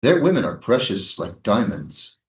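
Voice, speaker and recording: A man's voice: lowish voice, very animated, unhurried. Man acting a menacing military commander, echoey room. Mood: insinuating, menacing. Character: menacing, insinuating American military commander at a prison camp. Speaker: actor in a staged play reading. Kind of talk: live staged reading of a stage drama. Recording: echoey room, live stage reading.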